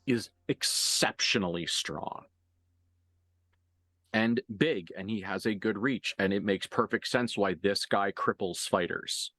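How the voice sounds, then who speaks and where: Low-voiced man narrating a tabletop game. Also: fairly bright tone, dry recording. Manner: halting, conversational, graphic blow-by-blow description. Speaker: game master.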